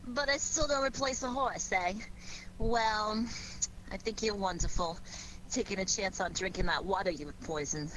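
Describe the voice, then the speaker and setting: An actress playing a playful, coy sweetheart and amateur sleuth in an old-time radio drama. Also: female voice, medium pitch, moderate pace, natural voice. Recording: noticeable background noise.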